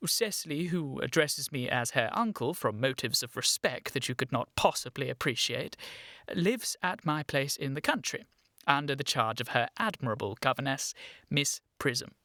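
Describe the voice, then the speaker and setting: Animated, proper, defensive English gentleman. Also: mid-range voice, normal speed, no echo, studio quality. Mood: stiff, guarded. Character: earnest, evasive, easily flustered young English gentleman. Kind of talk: comic radio play acting.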